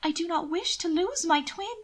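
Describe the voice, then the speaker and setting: Casting: female reader as a scheming young noblewoman, sugary and coaxing, high voice with sweeping melody, for storybook drama. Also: animated, moderate pace. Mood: coaxing, pointed. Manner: gushing, affected endearments, coaxing. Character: manipulative, doting upper-class young lady, twin sister. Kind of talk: audiobook character acting.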